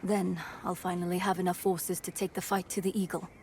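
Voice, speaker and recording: Gravelly, tough raider boss played by a woman. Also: fairly low pitch, level tone, fairly quick, darkish tone, slight background noise, close to an everyday voice. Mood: grim, determined. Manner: dry, curt, commanding. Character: tough, dry-witted female raider boss. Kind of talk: dramatic video game acting.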